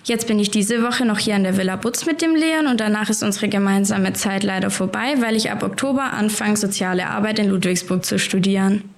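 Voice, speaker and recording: A woman's voice: mid-range voice, brisk, vocal fry, few pauses. A nineteen-year-old woman introducing herself as a holiday program caregiver and explaining at length. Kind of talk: interview self-introduction.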